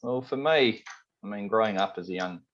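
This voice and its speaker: A man's voice: fairly low pitch, normal speed. A man from Sydney with an Australian accent, a head chef chatting casually in an interview, rambling with lots of "you know" and "um". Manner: rambling, relaxed, many fillers like "you know" and "um". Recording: slightly dull lo-fi audio.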